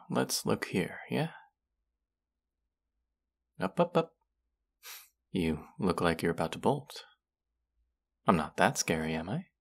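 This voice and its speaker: Guy playing a rude cocky twin brother for an audio roleplay, deep n slow, teasing and mocking drawl. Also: vocal fry, husky, full character voice. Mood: teasing, intimate. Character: condescending, smug, mocking young man, rude twin brother. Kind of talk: roleplay audio drama, intimate character acting.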